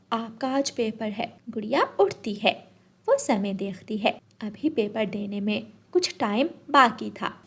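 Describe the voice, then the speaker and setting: A woman's voice: fairly high pitch, slightly rough. A woman narrating a scripted children's cartoon story.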